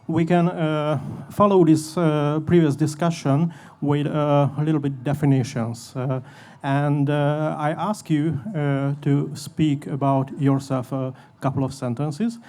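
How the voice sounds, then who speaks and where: A man moderating a panel discussion, halting and slow in his phrasing, heard from a distance. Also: a little high, steady tone, darkish tone. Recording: distant from the mic.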